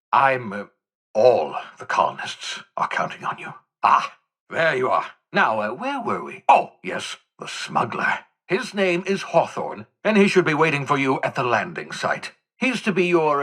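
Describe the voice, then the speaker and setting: An actor playing an eccentric, jittery older fugitive scientist in a video game, speaking in a theatrical, wordy, wry way with hesitant asides. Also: male voice, mid-range voice, pushed and bright, husky, exaggerated voice. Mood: fussy, wry. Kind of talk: video game character dialogue.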